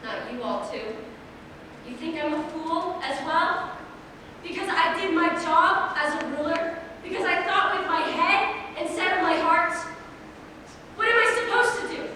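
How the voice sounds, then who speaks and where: A woman's voice: very high-pitched, animated, unhurried, pushed and bright, slight background noise, heavily acted. A woman playing a proud, guilt-torn young queen in an impassioned staged reading of a musical drama. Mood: bitter, wounded. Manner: theatrical, impassioned delivery. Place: indoor stage hall, recorded from afar. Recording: distant mic in echoey hall, music.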